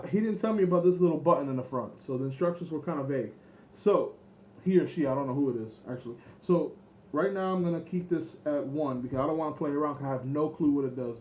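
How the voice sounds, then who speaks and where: A man thinking aloud through an unscripted product demo, hesitant, rambling and unsure of himself. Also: a little high. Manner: hesitant, rambling, self-doubting. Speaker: online seller demonstrating vintage electronics. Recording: dull lo-fi camera audio with background noise.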